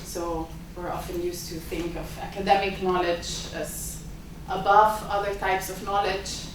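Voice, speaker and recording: A woman's voice: fairly low pitch, level tone, a little slow, noisy background. Hesitant Italian-native woman in echoey room. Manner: hesitant, many filler sounds. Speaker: symposium host and lab director. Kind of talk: opening remarks at an academic event. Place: university seminar room. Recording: echoey lecture room, mic far off.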